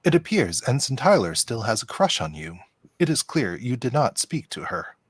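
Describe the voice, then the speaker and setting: A man's voice: medium pitch, big pitch swings, moderate pace, husky, no room sound. A man playing a polite, literal-minded android officer who speaks formally and precisely in a radio play. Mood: matter-of-fact.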